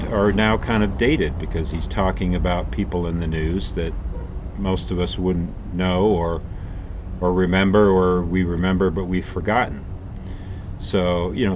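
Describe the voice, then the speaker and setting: An older man hosting a song reaction, rambling thoughtfully with many pauses and restarts. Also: sits low, muffled recording, a little hiss or hum. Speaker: reaction video host. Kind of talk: casual music commentary.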